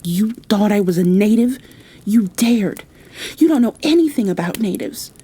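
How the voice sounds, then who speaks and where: A woman's voice: mid-range voice, animated, moderate pace, warm tone, breathy edge, pitched above the performer's own voice. A woman voicing an imperious, spoiled little rich girl who talks in a haughty, demanding way in a storybook reading. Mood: furious.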